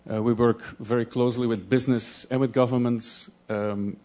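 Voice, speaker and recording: Halting man presenting. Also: medium pitch, steady tone, darkish tone. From Belgium. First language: Dutch. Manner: halting, searching for words, frequent restarts. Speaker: conference presenter. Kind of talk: conference presentation.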